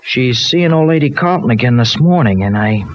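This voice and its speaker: A man's voice: mid-range voice, expressive, normal speed, close to an everyday voice. An actor playing an eager, streetwise but well-meaning young dairyman, with loose colloquial American speech in an old radio soap. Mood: earnest, uneasy. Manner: colloquial, folksy slang with loose grammar. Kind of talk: old-time radio soap opera acting. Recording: dull, noisy old radio recording.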